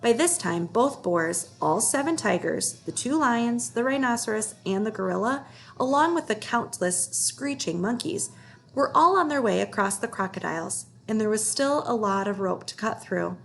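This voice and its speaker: High, creaky-voiced woman reading a storybook. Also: expressive, moderate pace. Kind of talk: expressive read-aloud of a children's book.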